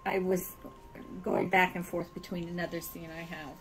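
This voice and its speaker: A woman's voice: lowish voice, flat delivery, normal speed, music in the background, low-quality recording. A woman from California with an American accent, chatting informally and chuckling as she gives a tour of a world she built. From California, USA. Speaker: virtual world builder giving a tour. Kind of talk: casual tour chat.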